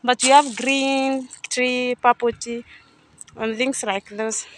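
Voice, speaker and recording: Chatty woman, high voice. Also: steady tone, slow pace, slight background noise. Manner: chatty, unsure, thinking aloud. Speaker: vlogger touring a coffee farm. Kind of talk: casual guided walk-through. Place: outdoors at a coffee farm and factory. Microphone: phone camera held by speaker.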